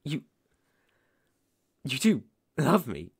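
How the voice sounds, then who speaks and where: A man's voice: medium pitch, huge pitch swings, very slow, vocal fry, husky, no echo, exaggerated voice. A young man playing a possessive, unhinged yandere boyfriend in an ASMR roleplay, sugary and menacing, theatrical and coaxing with sudden intense swells, close on the microphone. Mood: obsessive adoration. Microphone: close studio microphone.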